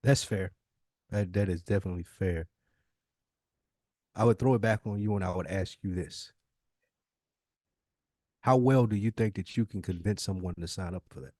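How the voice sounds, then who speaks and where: A man's voice: low-pitched, normal speed, long pauses, mellow, no room sound, studio quality. A man in a conversational podcast discussion, explaining emphatically and posing rhetorical questions as a co-host.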